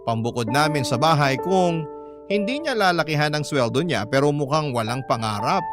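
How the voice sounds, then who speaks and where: Man voicing discontented confessor, high-ish voice over music. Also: animated, moderate pace, natural voice. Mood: confiding. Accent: Filipino. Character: discontented live-in partner confessing to a radio host. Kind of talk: dramatic first-person letter narration. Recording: music bed behind voice.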